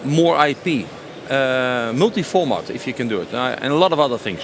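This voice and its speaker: A man in a mid-pitched voice. He talks spontaneously and enthusiastically, often repeating a phrase for emphasis. The recording has obvious background noise, as on a busy exhibition floor. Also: expressive, brisk. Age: 50s. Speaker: company sales director being interviewed. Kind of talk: spontaneous interview answers. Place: trade show stand.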